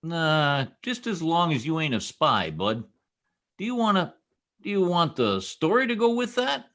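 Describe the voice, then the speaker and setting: An actor playing a boastful, folksy small-town American husband in an old-time radio comedy, with cocky, slangy, ungrammatical talk. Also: male voice, a little high, very animated, measured pace, fairly bright tone, exaggerated voice.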